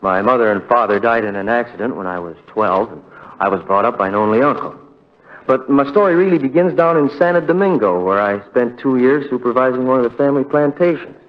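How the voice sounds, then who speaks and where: An actor playing a haunted, heartbroken young man, telling his story with halting, conversational pauses in 1940s radio drama style. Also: medium pitch, close to an everyday voice. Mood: wistful, rueful. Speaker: narrator character. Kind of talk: 1940s radio drama first-person narration. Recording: muffled vintage radio broadcast with music.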